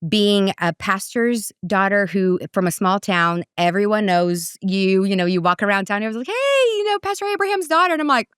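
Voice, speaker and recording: Guest: Brazilian-born woman, middle-aged, smooth crisp voice, animated storyteller, dry close sound. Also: a little fast, hardly any pauses, no room sound. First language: Portuguese. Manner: animated, voice jumps high for quoted asides. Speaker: guest, parenting coach. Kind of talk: casual conversational storytelling.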